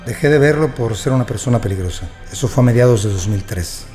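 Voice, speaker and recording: A man's voice: mid-range voice, expressive, fast, dark tone, natural voice. An actor in an audio drama playing a wronged male prisoner who recounts his ordeal as sober testimony. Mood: recollecting. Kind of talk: first-person audio drama narration. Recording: music bed behind the voice.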